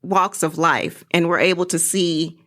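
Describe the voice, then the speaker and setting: A middle-aged woman chatting casually as an interview guest, telling her story in an animated way. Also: on the low side, a little slow.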